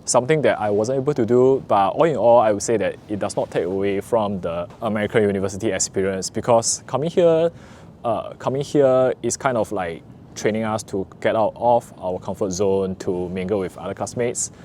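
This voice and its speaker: A young man from Singapore with a Singaporean English accent, talking earnestly to camera in a relaxed vlog, with frequent "uh" fillers. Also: lowish voice, brisk, a little hiss or hum. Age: young adult. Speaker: vlogger, recent business school graduate. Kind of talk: casual talk to camera.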